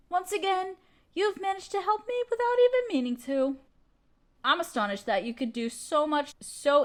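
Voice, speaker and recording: A woman acting as an imperious evil queen with a fairly high voice. She speaks theatrically and with condescension, as a ruler used to being obeyed, in a scripted audio roleplay monologue. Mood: smug, playful. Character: haughty evil queen, imperious sorceress ruling an empire.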